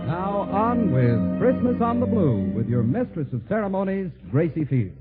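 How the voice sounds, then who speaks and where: A man's voice: sits high, sing-song, normal speed, loud music behind the voice. A man announcing formally in the style of 1940s network radio. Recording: tinny 1940s radio broadcast recording.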